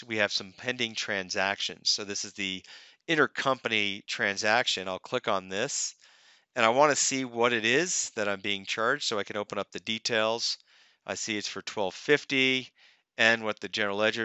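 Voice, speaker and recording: A man presents a software walkthrough in a clear, resonant and fairly low voice. His delivery is explanatory and conversational, moving step by step. The close-miked voice-over sounds very dry. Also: no room sound. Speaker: software demonstrator. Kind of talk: narrated software tutorial, semi-scripted walkthrough. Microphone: close microphone, screen-recording voice-over.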